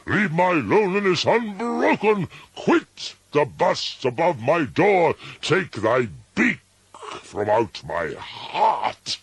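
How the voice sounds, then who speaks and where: A man playing a grieving, frantic narrator of a gothic poem in an impassioned, theatrical delivery, on a slowed-down recording. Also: a little high, gravelly, husky, no echo, pitched above the performer's own voice, heavily acted. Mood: furious, shrieking. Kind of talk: dramatic gothic poetry recitation.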